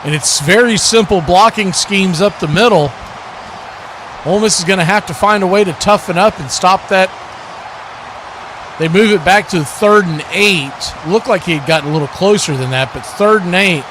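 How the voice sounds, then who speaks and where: A man calling American football play-by-play live on a stream, excitable and speaking in punchy, clipped phrases. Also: high-pitched, normal speed, a little hiss or hum. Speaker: play-by-play commentator on a livestream watch party.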